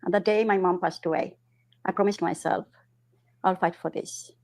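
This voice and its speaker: Earnest middle-aged woman from Bangladesh, lowish voice, halting delivery. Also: steady tone, vocal fry, a little mellow. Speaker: interview guest, councillor. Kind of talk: personal testimony in interview.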